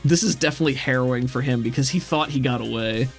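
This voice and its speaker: A man's voice: medium pitch, fast talker, soft background music. A man working as game master, narrating a tabletop game with vivid description and casual asides.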